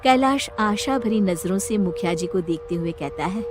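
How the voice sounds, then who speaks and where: A woman narrating a scripted horror story with an expressive, dramatic delivery. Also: medium pitch, normal speed, raised voice, music in the background.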